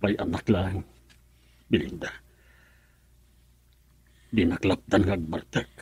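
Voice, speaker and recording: A man's voice: low-pitched, fairly even intonation, takes it slowly, darkish tone, breathy edge, no room sound, full character voice. An actor with a Filipino accent playing a wounded, betrayed middle-aged husband and father in a radio drama, volatile and reproachful. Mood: bitter reproach. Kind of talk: Ilocano radio drama acting.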